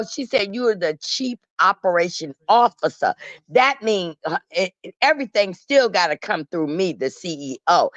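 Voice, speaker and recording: A woman's voice: medium pitch, dry recording. Dull-sounding, emphatic woman gossip host. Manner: emphatic, sassy storytelling in colloquial speech. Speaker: talk show host. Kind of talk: casual opinionated gossip commentary. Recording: dull, lo-fi online audio.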